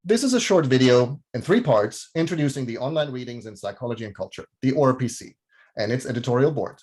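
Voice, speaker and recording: A man's voice: mid-range voice, expressive, fast, a little mellow. Guy hosting a video call, medium voice, keeps things orderly. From Germany. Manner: clear, orderly moderator. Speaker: host, moderator. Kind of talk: scripted introduction and moderating.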